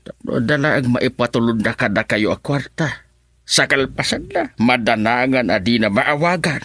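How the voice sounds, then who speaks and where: A bright, forceful voice of an easygoing grandfather in a radio drama. He reassures at a middle pitch, close and dry. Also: big pitch swings, moderate pace, husky, dry recording, full character voice. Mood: easygoing, reassuring. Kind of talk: Ilocano radio drama acting.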